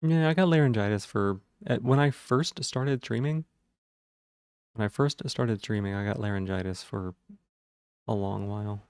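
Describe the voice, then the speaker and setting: Man, gravelly voice, sore throat, casual livestream chatter, thinking aloud, mellow tone. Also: on the low side, normal speed, no room sound, clean studio sound. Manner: rambling, thinks aloud while checking things. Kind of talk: casual livestream chat with viewers.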